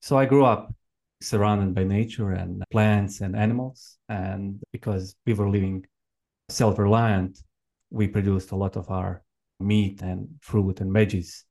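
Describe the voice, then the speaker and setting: A man in his thirties from Croatia with a Croatian accent, telling his own story conversationally as an interview guest, in halting, reflective non-native English. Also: lowish voice, steady tone, a little mellow. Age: 30s. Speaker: podcast guest, permaculture farmer and educator. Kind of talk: conversational personal account.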